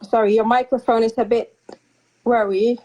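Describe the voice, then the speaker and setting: A young woman from the Netherlands, a guest author speaking softly and hesitantly with frequent ums in a casual interview over a video call. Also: a little high, fairly even intonation, moderate pace, a little hiss or hum. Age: young adult. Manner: soft-spoken, hesitant with frequent ums and light laughs. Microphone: video call audio. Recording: slightly dull lo-fi call audio.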